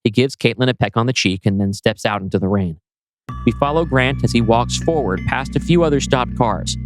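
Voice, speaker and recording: Male podcast host, scripted screenplay narration, middle-of-the-road pitch, light music behind. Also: fairly quick.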